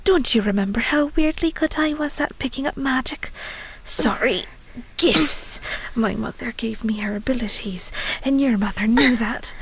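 A woman playing a bitter, defiant young female werewolf in an audio roleplay, breathy, hushed and biting. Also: fairly high pitch, normal speed, poor audio. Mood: accusing. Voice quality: breathy, whispery. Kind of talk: dramatic audio roleplay acting.

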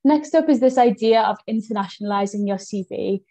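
A woman's voice: medium pitch, brisk, mellow, dry recording. Upbeat British woman hosting a webinar. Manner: friendly, upbeat presenter with frequent "um" and "kind of". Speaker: webinar host and education advisor. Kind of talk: presenting a webinar. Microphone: video call.

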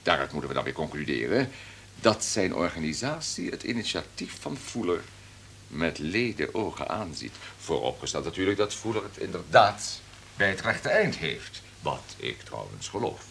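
An actor playing a domineering, calculating radio station boss in a Dutch radio drama, with a pompous, commanding manner. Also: male voice, sits low, very animated, moderate pace, fairly bright tone, slight background noise, full character voice. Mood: forceful, calculating. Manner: pompous, commanding, throat-clearing.

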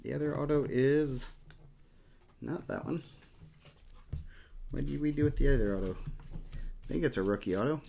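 A man's voice: medium pitch, normal speed, gravelly, long pauses, dark tone, slight background noise. A middle-aged man chatting casually as he hosts a card-opening show from a desk in a small room. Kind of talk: casual chat while showing cards.

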